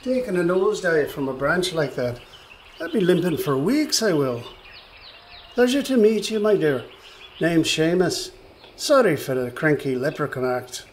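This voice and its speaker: A man's voice: on the high side, a little slow, a little hiss or hum. A man voicing a fussy, chatty little leprechaun with an Irish accent in a radio play. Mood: cheery. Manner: grumbling, animated. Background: birdsong, woodland ambience.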